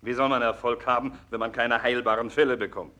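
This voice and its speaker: An actor playing a disillusioned, blunt senior professor and mentor in a 1960s German radio play, with a wry, didactic delivery. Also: male voice, mid-range voice, fairly even intonation, fairly quick, bright, forceful tone, close to an everyday voice. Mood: bitter, resigned.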